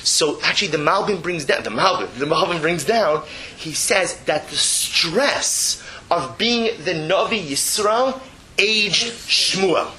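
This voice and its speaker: Male rabbi lecturer, high-pitched and intensely bright, emphatic delivery, reads then translates, full of rhetorical questions. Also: animated, slow pace, low-quality recording. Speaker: rabbi giving a Torah class. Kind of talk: religious text lecture, reading and translating.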